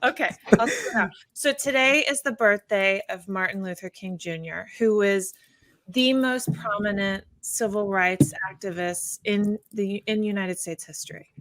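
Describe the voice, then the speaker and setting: A woman lawyer, a native English speaker, talking on a casual online talk show in halting Spanish that often slips into English. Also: medium pitch, a bit bright. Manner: slow, hesitant Spanish with frequent switches to English. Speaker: immigration lawyer, show regular.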